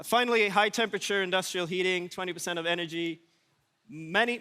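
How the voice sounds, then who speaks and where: A man's voice: high-pitched, pushed and bright, smooth. A man giving an informal conference presentation in a dry, wry, casual manner. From Sweden. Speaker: conference speaker. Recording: echoey hall with long reverb.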